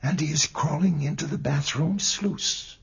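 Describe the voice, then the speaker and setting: A man voicing a brave young male mongoose in an old radio story, with a high, thin, gravelly voice and an eager, alert manner. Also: measured pace, a bit bright, full character voice.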